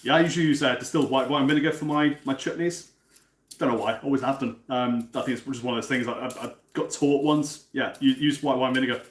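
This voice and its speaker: A man in his thirties from North Wales talks loosely to his viewers as he cooks, at an ordinary middle pitch. He keeps stumbling into repeated words and restarts, with the odd casual swear. His voice bounces around an echoey home kitchen. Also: fairly even intonation, quick pace. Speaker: cooking stream host. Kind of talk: casual live-stream chat, answering viewers.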